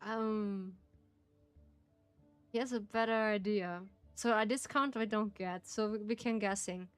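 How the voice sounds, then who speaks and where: Slow-speaking German-accented woman streamer. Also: medium pitch, fairly even intonation, smooth, no room sound, soft background music. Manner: slow, careful phrasing with frequent laughs and restarts. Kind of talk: casual livestream, reading a game aloud.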